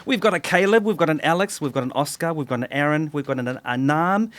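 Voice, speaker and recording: A man's voice: fairly high pitch, lively intonation, fast talker. Upbeat New radio host, man. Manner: upbeat, chatty. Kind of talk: casual radio interview. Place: radio studio.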